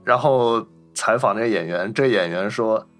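Guy podcast host, bright voice, explaining film stories casually, music faintly in the back. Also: fairly low pitch, normal speed. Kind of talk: casual film discussion with anecdotes.

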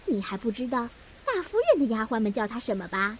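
Woman doing a young gossipy maid, very high swoopy voice, petty and teasing, period radio play. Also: sing-song, fast, noisy background. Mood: catty, teasing. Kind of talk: Chinese audio drama acting.

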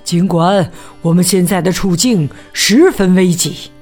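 A woman's voice: lowish voice, a little slow, a little mellow, faint music behind, pitched below the performer's own voice. A woman doing a pompous chick leader. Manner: pompous, speechmaking. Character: self-important chick leader.

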